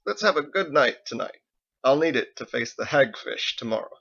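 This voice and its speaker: A man's voice: mid-range voice, lively intonation, normal speed, gravelly, fairly bright tone, slightly rough, muffled recording, full character voice. A man voicing a secretive older husband in an audiobook, outwardly fond, teasing and coaxing. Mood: playful, teasing. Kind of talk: audiobook character voice.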